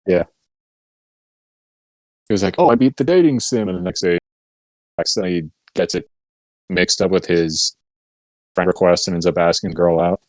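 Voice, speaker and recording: A man's voice: low voice, moderate pace, long pauses, dry recording, rough recording. A man co-hosting a podcast, talking casually as if among friends. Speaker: podcast co-host.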